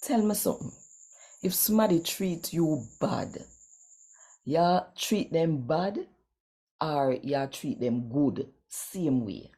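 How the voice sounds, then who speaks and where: Low, slow-speaking Jamaican woman. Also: lively intonation, dark tone. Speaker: host and scripture reader. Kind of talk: daily Bible reading in Jamaican Creole.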